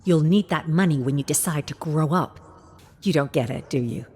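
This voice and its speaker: Proud, stern middle-aged father, played by a woman narrator: low voice, accusing, scornful, story narration. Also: normal speed, darkish tone, slightly rough.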